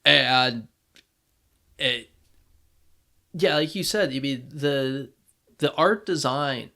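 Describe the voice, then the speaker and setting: A man co-hosting a podcast, talking casually as a fan and thinking aloud in a halting way. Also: mid-range voice, pushed and bright. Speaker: podcast co-host.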